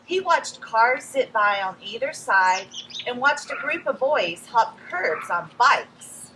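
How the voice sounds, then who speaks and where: A woman reading a picture book aloud outdoors to young children, expressive and addressing them directly as friends. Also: fairly high pitch, slow pace. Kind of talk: animated read-aloud storytelling for young children. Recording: some background noise, outdoor ambience.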